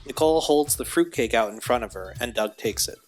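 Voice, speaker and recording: Man narrating over clear music. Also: mid-range voice, expressive, fairly quick. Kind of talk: scripted audio drama narration. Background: music bed.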